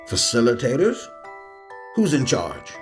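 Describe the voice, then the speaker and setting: A man's voice: mid-range voice, huge pitch swings, unhurried. A man playing a weary, curt army sergeant giving orders in a radio play. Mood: wary. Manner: curt, commanding. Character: gruff, weary army sergeant commanding a patrol. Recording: radio play with music behind.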